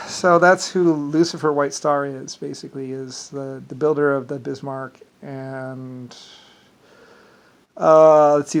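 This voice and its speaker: A man with an Irish accent delivering a rambling, digressive solo monologue with self-assured claims. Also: fairly high pitch.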